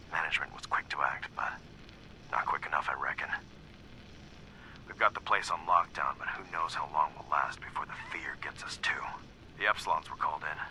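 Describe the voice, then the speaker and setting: An actor playing a gruff, cynical, weary security captain in a video game audio log, blunt, sardonic and folksy. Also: male voice, a bass voice, moderate pace, pauses often, sharp tone, in a whisper, full character voice, rough recording. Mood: grim, weary.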